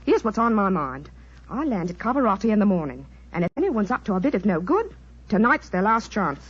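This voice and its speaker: Husky, brassy queen. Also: medium pitch, big pitch swings, a little fast. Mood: confiding. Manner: breezy, colloquial, theatrical. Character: brassy, blunt middle-aged former chorus girl turned island queen. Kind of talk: 1940s radio acting. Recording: muffled old 1940s radio recording.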